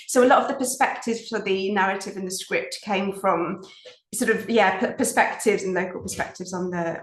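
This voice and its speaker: A woman giving an informal talk about their art, reflective and hesitant, searching for words as they go. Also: medium pitch. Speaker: artist presenting their own work. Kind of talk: informal artist talk with slides. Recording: roomy, echoey sound.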